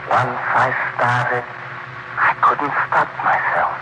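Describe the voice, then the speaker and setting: A slow, mid-pitched male voice of an actor as a haunted killer. He is halting and brooding, in a very noisy vintage 1940s radio recording. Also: lightly acted. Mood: haunted confession. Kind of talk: 1940s radio drama acting.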